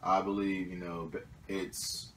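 A man's voice: low-pitched, level tone, measured pace, a bit bright, a little hiss or hum. A young man talking casually in a discussion, hesitant with many fillers. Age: young adult. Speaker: student panelist. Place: small indoor room at a table. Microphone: far camera. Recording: echoey room from far off.

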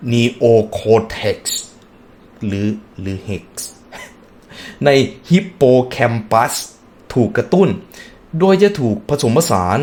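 A man's voice: medium pitch, a little mellow, slight background noise. A man hosting a reaction video, reading text aloud and breaking off into casual musing remarks and hums.

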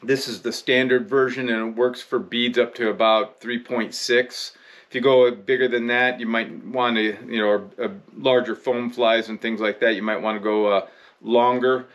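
Halting, conversational American man in his fifties. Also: medium pitch, fairly even intonation, fairly bright tone. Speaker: fishing video presenter. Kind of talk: casual instructional vlog talk. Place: indoor room with rod racks.

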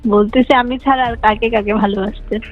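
A Bangladeshi woman talks in a private phone call. Her voice is fairly high, and the recording is dull and lo-fi with music behind it. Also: steady tone, a little fast. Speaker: hospital cleaner.

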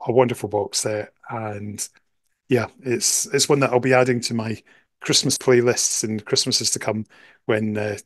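A man's voice: medium pitch, no echo. A middle-aged man with a Scottish accent giving a casual review as a guest host on a video call. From Scotland. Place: small room indoors. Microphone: headset on a video call.

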